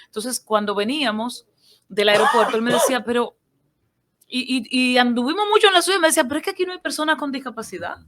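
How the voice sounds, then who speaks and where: A woman with a Dominican accent, co-hosting a radio talk program and conversing in a relaxed, interjecting way. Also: on the high side, quick pace, background noise. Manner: conversational, interjects and completes others' phrases. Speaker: radio show co-host.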